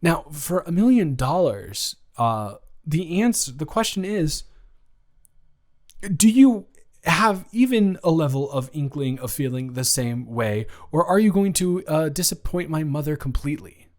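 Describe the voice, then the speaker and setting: A man's voice: fairly high pitch, sing-song. Man acting a rambling, lovestruck young guy. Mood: nervous, teasing. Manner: halting, rambling, self-interrupting, chuckling. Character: nervous young man confessing love to his best friend, playful and rambling. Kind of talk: improvised romantic audio roleplay, intimate casual chat.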